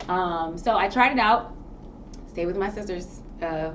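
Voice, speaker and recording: A woman's voice: lowish voice, measured pace. A woman from New York whose first language is Haitian Creole, telling her story in a casual interview with lots of laughter. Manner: lively, laughs often, animated storyteller. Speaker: guest, makeup artist. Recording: distant mic, dull sound, room noise.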